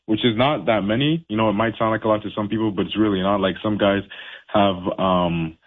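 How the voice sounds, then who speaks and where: Young boxer on a tinny phone line. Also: male voice, lowish voice, brisk. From Haiti. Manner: laid-back, many fillers and restarts. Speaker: professional boxer, guest. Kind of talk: casual interview.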